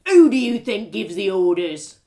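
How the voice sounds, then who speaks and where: A woman voicing a sneering, bullying male castle guard with rough, uneducated speech in a storybook reading. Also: mid-range voice, big pitch swings, a little slow, warm tone. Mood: angry, bullying. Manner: rough uneducated grammar, contemptuous.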